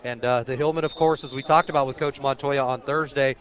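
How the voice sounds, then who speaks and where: A man's voice: mid-range voice, steady tone, fairly quick, dull audio. An American man doing live radio play-by-play of a football game outdoors, describing the action as it unfolds. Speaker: play-by-play sports announcer.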